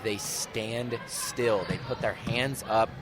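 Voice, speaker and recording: A man's voice: lowish voice, steady tone, slow pace. A man giving conversational live analysis as the color commentator at a basketball game. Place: indoor school gymnasium during a game. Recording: noisy gym ambience, bouncing basketballs. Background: game noise, basketball bounces.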